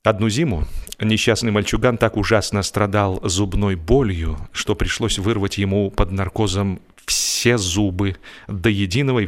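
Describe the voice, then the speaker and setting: A man narrating comic literature as an audiobook performer, in an expressive, mock-serious way, drawing out the ends of his phrases. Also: fairly low pitch. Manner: expressive, wry mock-serious delivery with drawn-out phrase endings.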